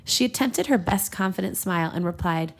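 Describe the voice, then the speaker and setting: A woman's voice: fairly low pitch, lively intonation, fairly quick. A woman hosting a podcast, reading fan fiction aloud and breaking off into giggly, irreverent, conversational asides. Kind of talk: storybook-style reading mixed with casual banter.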